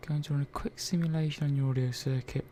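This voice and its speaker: A man's voice: on the high side, fairly even intonation, fast, mellow, lightly acted. A man playing a chatty, caring robot technician in an ASMR roleplay, talking hesitantly and reassuringly to one listener. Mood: focused, concerned. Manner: hesitant, rambling, reassuring, murmured asides. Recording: faint background noise and ambience.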